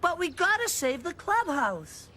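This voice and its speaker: A woman's voice: very high voice, very animated, measured pace, exaggerated voice. A chubby scheming cartoon boy. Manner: scheming, bossy, pleased with himself. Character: chubby boastful boy club leader Tubby. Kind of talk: cartoon voice acting. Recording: studio voice over loud cartoon music.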